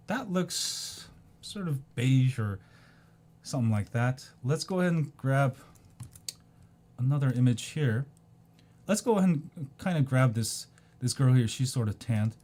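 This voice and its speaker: Casual vlogger: man, 40s, from South Korea, mellow warm tone, loose rambling delivery full of ums. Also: mid-range voice. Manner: rambling, many ums and restarts, repeats "go ahead and". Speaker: video creator. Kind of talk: casual screen-share explainer.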